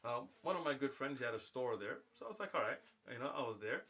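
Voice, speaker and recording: A man's voice: medium pitch, fast. A man from the United States telling a long anecdote in casual livestream talk, acting out the people in it. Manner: animated, acts out voices in anecdotes.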